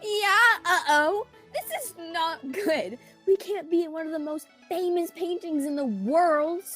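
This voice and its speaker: A woman's voice: extremely high pitch, unhurried, a bit bright, faint music behind. A young woman playing an exasperated, incredulous girl adventurer in a casual-sounding radio theatre performance. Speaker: student radio theatre actor.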